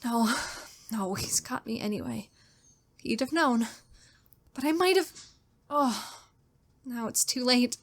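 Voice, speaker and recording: A woman's voice: fairly high pitch, animated, slow, long pauses. An actress playing a fearful, unhinged woman in a theatrical radio horror drama. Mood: frightened despair. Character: fearful, unhinged woman diarist who killed her brother-in-law. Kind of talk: old-time radio horror drama acting.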